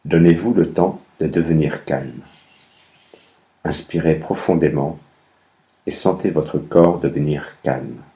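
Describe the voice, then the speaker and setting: A man guiding a meditation as a yoga nidra instructor, speaking calmly in measured instructions with long pauses between phrases. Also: very deep voice. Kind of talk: guided meditation, slow instructions.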